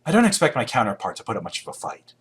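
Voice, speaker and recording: An actor playing a confident, coolly ruthless sci-fi schemer in a video game, wry and clipped, with a rough husky voice. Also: male voice, mid-range voice, huge pitch swings, racing, exaggerated voice.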